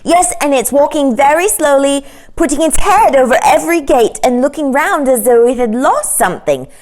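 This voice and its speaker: Woman playing an excitable, high-pitched little boy. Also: moderate pace. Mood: excited. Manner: breathless exclamations, eager reporting. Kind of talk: children's storybook character voice.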